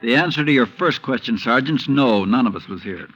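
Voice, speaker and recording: An actor playing a concerned, plain-spoken cab driver in a 1950s radio drama, earnest and questioning. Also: male voice, medium pitch, expressive, a little fast, fairly bright tone, close to an everyday voice. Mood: puzzled, concerned. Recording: noisy, muffled old radio recording.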